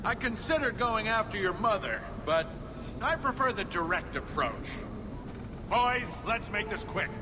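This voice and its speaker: A man's voice: high-pitched, sing-song, measured pace. A man playing a smug, blunt, menacing dwarf thug leader in a video game. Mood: smug menace. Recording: long reverb, noisy game capture.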